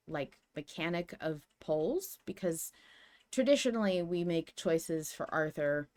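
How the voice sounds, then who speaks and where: A woman podcast co-host in a casual fan discussion, thoughtful and tentative as she thinks aloud. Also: sits low.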